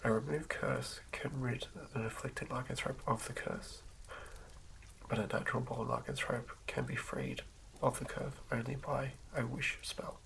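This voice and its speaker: A man doing a soothing ASMR reading of fantasy game lore in a hushed, breathy whisper, occasionally stumbling over words. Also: mid-range voice, little pitch movement, unhurried. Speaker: ASMR host. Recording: lo-fi recording with clear background noise.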